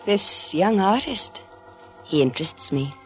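A woman's voice: mid-range voice, expressive, takes it slowly. An actress playing a flirtatious, scheming married woman in a musing, affected 1950s radio drama style. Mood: intrigued, coy. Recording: old radio recording, music behind.

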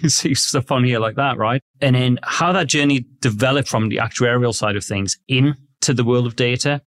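A man hosting a podcast interview in a friendly, rambling way with lots of fillers. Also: medium pitch. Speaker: podcast host. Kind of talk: casual interview chat.

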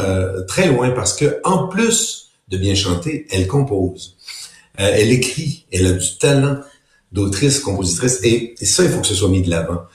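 Very deep male coach, halting and repeating himself, roomy echo. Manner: warm, halting, with repeated words. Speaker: singer and vocal coach. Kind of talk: casual interview.

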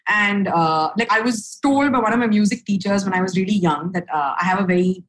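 A young adult woman from India, a podcast guest speaking frankly and upbeat, with a low voice for a woman and casual fillers. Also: a little fast, low-quality recording. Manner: frank, upbeat, lots of fillers and "right?" tags. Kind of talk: candid conversational storytelling.

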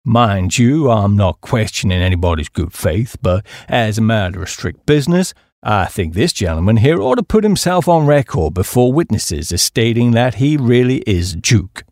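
Man acting stout cold-eyed American businessman, blunt, distrustful, insistent, animated pitch, audiobook character. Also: normal speed, few pauses, dry recording, clean studio sound. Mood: blunt, suspicious.

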